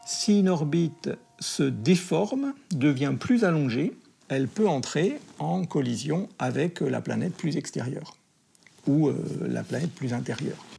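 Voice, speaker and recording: Husky, gravelly man in an interview. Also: on the high side, animated, measured pace, dark tone, faint music behind. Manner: hesitant, many fillers and restarts. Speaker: historian of science interviewed. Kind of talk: documentary interview.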